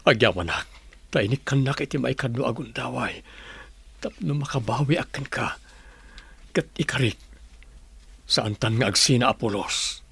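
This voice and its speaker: A middle-aged man acting a remorseful, devoted husband in a radio drama, gravelly, husky and earnest. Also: mid-range voice, moderate pace, long pauses, fairly bright tone, no room sound, heavily acted. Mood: earnest, grateful.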